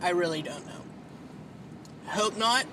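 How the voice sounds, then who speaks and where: A man's voice: high-pitched, lively intonation, unhurried, background noise. A man hosting a casual solo podcast, rambling and self-deprecating, with a whiny, nasal voice and free swearing. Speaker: podcast host.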